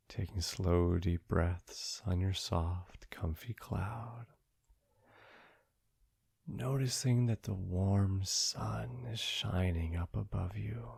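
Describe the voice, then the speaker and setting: A man leading a guided sleep meditation in a soothing, gentle, hushed voice, breathy and often trailing into a near-whisper, with long pauses between short phrases. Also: sits low. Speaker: meditation guide, podcast host.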